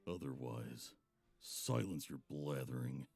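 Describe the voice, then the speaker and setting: Man playing a haughty monster hunter, sardonic lover, deep register, swinging animated delivery, game voice acting. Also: slow, creaky, faint music behind, heavily acted. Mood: scornful, exasperated. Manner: sarcastic, haughty, old-fashioned wording. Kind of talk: visual novel voice acting.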